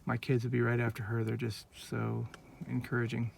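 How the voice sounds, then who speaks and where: A man's voice: medium pitch, monotone, moderate pace, vocal fry, a little mellow, slight background noise. A man in his forties from Northern California, talking in an earnest, rambling, heartfelt way in a casual personal vlog, recorded on a handheld phone. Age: 40s. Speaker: vlogger.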